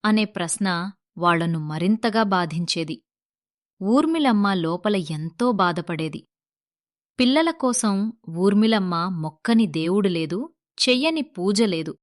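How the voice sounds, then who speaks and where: A woman's voice: medium pitch, expressive, measured pace, no room sound, clean studio sound. A woman narrating a scripted moral story as the storyteller.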